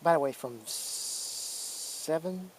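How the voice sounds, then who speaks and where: A man's voice: a little high, extremely slow, slight background noise. A man presenting as a radio hobbyist, giving casual hands-on demonstration commentary.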